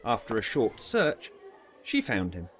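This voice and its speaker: A man's voice: fairly low pitch, wildly swooping pitch, unhurried, a bit bright. A man narrating a dramatised audiobook story in a storytelling style.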